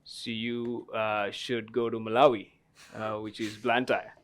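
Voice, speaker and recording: A man from Sri Lanka in his thirties speaks at a live festival panel. His voice sits low and comes across smooth and resonant. He tells his story in an easy, rambling way, with plenty of ums along the way. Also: little pitch movement, measured pace. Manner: storytelling, many fillers, laughs at own story. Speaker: tea company guest. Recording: live festival stage talk.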